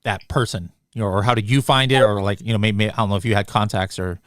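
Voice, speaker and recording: A man's voice: lowish voice, fairly even intonation, fast talker, fairly bright tone, no room sound. A man hosting a business podcast, asking loose, hedging questions full of fillers. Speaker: podcast host. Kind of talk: interviewing, casual questions.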